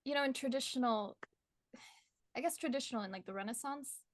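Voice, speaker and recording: A young woman from Colombia with an American accent, a painter chatting as a podcast guest, friendly and quick to laugh. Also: sits high. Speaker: artist guest. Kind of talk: casual interview chat. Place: her art studio.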